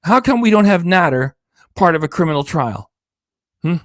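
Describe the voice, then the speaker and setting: A man's voice: fairly high pitch, very animated, moderate pace, fairly bright tone, no echo, clean studio sound. Tired, indignant man ranting sarcastically. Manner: sarcastic, indignant, swears often. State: tired, losing his voice. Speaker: reaction channel host. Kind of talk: ranting livestream commentary.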